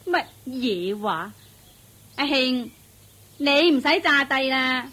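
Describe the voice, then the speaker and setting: An actress playing a bitter, disdainful wife fed up with poverty, scolding in Hong Kong Cantonese in a radio drama. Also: female voice, high voice, expressive, takes it slowly, full character voice. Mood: bitter complaining. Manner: scolding, sharp-tongued.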